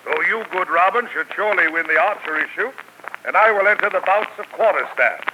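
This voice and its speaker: An actor playing a big, jovial, food-loving outlaw in a 1940s radio drama, with hearty, old-fashioned talk. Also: male voice, brisk, lo-fi sound, lightly acted. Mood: jolly. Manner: hearty, archaic talk, booming. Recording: noisy 1940s radio broadcast.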